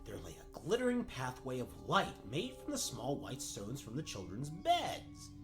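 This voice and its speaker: A man's voice: medium pitch, huge pitch swings, normal speed, over music. A man playing a wry, mock-grand narrator in a comedic fairy-tale radio play. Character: wry, theatrical storybook narrator. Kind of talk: comedic scripted storytelling.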